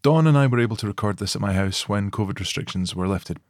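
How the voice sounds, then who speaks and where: A man hosting a podcast, reading a scripted introduction in a measured, orderly way. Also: on the low side, a little mellow, no room sound, studio quality. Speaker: podcast host.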